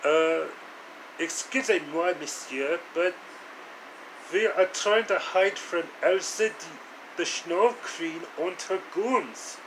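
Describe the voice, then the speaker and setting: A man's voice: high-pitched, takes it slowly, rough recording. A man voicing a talkative young adventurer in a storybook parody, speaking hesitantly and repeating phrases. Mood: hesitant, relieved. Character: food-loving, talkative young adventurer hiding out. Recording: steady air-conditioning noise.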